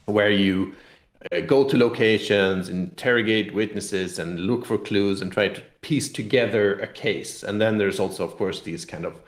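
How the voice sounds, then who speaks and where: A man's voice: lowish voice, normal speed. A man talking casually in an interview as a game designer, thinking aloud with repeated words and restarts. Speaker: game designer and publisher guest. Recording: echoey room sound.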